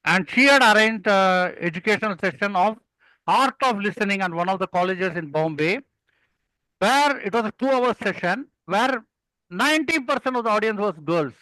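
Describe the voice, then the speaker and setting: Older South Indian man, high bright voice. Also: animated, moderate pace, dry recording, rough recording. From Kerala, India. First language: Malayalam. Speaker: guest, experienced club speaker. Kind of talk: conversational storytelling and advice.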